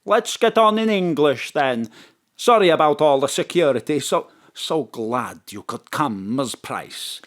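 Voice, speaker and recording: A man's voice: a little high, expressive, a little slow. A man playing a genial sixty-five-year-old president in an audio drama, courtly and apologetic in manner. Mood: welcoming. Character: genial, statesmanlike 65-year-old male Welsh president, eccentric enthusiast.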